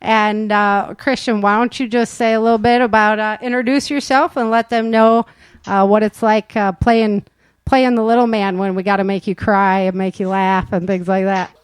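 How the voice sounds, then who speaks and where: A woman's voice: medium pitch, flat delivery, normal speed, smooth, no room sound. A woman hosting a casual cast interview, chatty and informal, often chuckling as she talks. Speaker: host and producer of an audio drama.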